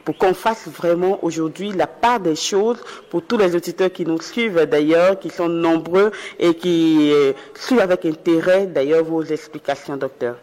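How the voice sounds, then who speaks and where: A woman's voice: lowish voice, normal speed, hardly any pauses, darkish tone, long reverb tail. A woman radio host asking a doctor long, winding questions, with hesitant fillers in between. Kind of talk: broadcast health interview.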